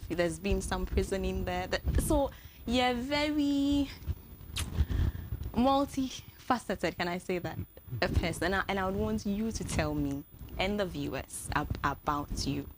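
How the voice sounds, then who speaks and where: A woman's voice: mid-range voice, lively intonation, a little slow, background noise. A woman hosting a talk show interview, chatty and full of fillers as she leads into her questions. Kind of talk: casual interview chat.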